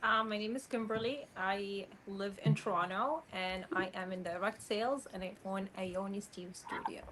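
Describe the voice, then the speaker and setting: A woman's voice: mid-range voice, fairly even intonation, normal speed, a crowd in the background, poor audio. Woman, blunt and chatty, says "like" constantly, video call. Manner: frank, filler-heavy, lots of "like". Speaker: panel guest. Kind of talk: casual opinionated chat.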